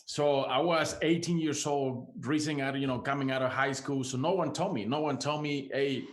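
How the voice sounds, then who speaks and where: A man from Venezuela hosts a podcast interview, speaking with a Venezuelan Spanish accent. He talks with enthusiasm, repeats words as he goes and laughs often, at a middling pitch. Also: steady tone, moderate pace. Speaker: podcast host. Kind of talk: casual interview.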